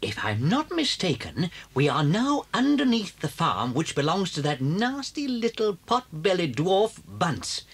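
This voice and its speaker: A man's voice: high-pitched, wildly swooping pitch, unhurried, few pauses, husky, exaggerated voice. A British actor playing a sly, confident, charming father fox in a children's audio story, suave and theatrical, relishing his words. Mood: sly, gloating.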